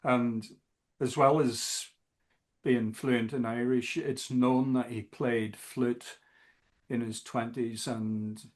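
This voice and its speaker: A man talking as a guest musician in a casual interview, halting often with fillers. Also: mid-range voice, steady tone. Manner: slow, halting, many fillers.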